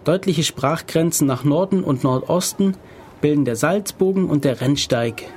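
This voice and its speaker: A man's voice: a little high, a little mellow. Brief: male podcast host, casual, reads text aloud with stumbles, faint noise behind. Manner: reads aloud haltingly, stumbles over words.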